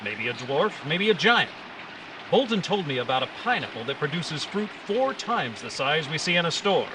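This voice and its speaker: A man playing an earnest, authoritative hero of an old-time radio adventure, explaining things and giving brisk orders. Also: fairly high pitch, sing-song. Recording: live stage recording with background noise.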